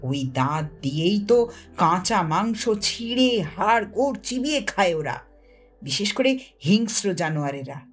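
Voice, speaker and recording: A woman's voice: medium pitch, sing-song, a little slow, faint music behind, pitched below the performer's own voice. A woman voicing a knowing, teasing older lad, bossy and mysterious, in a storybook reading. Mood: knowing, scornful.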